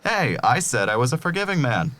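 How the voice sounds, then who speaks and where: A man's voice: medium pitch, sing-song, a little fast, fairly bright tone. A man playing a menacing gang leader in an audio drama, taunting with falsely courteous, folksy threats.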